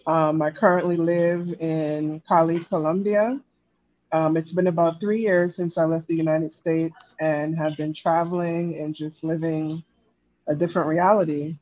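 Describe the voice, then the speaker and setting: Soft-spoken woman, low voice. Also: no room sound, muffled recording. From Jamaica. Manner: calm, reflective, many "um" pauses. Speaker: guest. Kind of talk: casual podcast interview.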